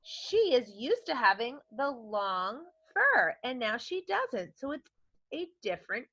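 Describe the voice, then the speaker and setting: A woman's voice: a little high, sing-song, slow, bright, forceful tone. A woman speaking as an elementary teacher, instructing young children and putting questions to them during a read-aloud lesson. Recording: dull, lo-fi sound.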